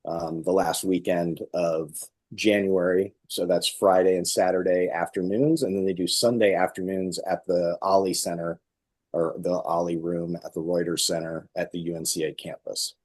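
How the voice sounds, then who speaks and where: A man talking casually as an interview guest, in a low-key, somewhat hesitant way. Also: flat delivery, normal speed, darkish tone. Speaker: guest, actor and director.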